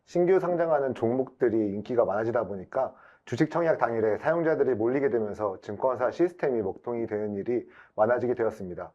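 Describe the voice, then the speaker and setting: Fast-talking man presenting formally. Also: mid-range voice, lively intonation, mellow, highs cut off. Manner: formal, explanatory. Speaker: presenter, IT specialist. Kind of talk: prepared webinar presentation.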